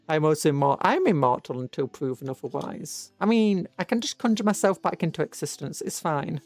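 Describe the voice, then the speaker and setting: British vtuber just chatting on stream, rambly and thinking out loud, dry close mic, quiet music behind. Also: medium pitch, expressive, normal speed, no room sound. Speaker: VTuber livestreamer. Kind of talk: casual livestream chat with viewers. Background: background music.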